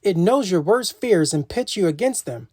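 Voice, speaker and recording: Lady doing a tough smart alien warrior woman, storybook read, pitch jumps around, dry sound. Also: expressive, moderate pace, a little mellow, no echo. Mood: determined. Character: tough green-skinned alien warrior woman, battle-weary but smart. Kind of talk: animated children's storybook dialogue.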